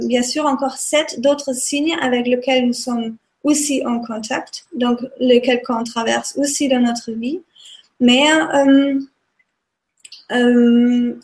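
A woman with a German accent, a German native speaker, slowly explaining a topic on a livestream and often hesitating with fillers. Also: high-pitched. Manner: hesitant, many fillers and restarts. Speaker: Maya calendar guest speaker.

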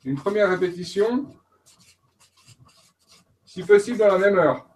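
A man's voice: high voice, animated, unhurried, darkish tone, slightly rough. Male coach, slightly raised voice, hesitant, lots of fillers. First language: French. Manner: hesitant, many fillers and restarts. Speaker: coach and trainer. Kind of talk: panel talk on learning.

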